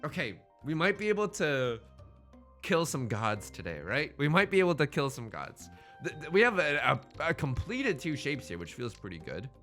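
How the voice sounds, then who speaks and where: A man's voice: fairly high pitch, big pitch swings, moderate pace, fairly bright tone, soft background music. A man hosting a gaming let's play with casual, joking commentary, thinking aloud in a rambling, playfully dramatic and self-deprecating way. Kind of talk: casual running game commentary with joking banter.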